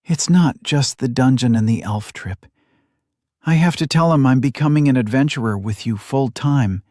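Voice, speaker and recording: A man's voice: medium pitch, moderate pace, dark tone, no echo, clean studio sound, voice pushed up, close to an everyday voice. A man voicing an earnest, headstrong young noblewoman with an anxious edge in an audiobook. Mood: earnest, pressing. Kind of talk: audiobook character acting.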